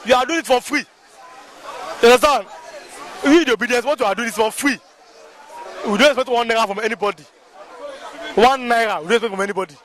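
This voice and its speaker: A man with a strikingly high voice and a Yoruba-tinged Nigerian accent argues forcefully in the open air. He repeats himself for emphasis and keeps asking whether you understand. A faint murmur of people can be heard around him. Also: fast, pauses often, some chatter behind. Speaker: local spokesman of a political movement. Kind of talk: impassioned street interview.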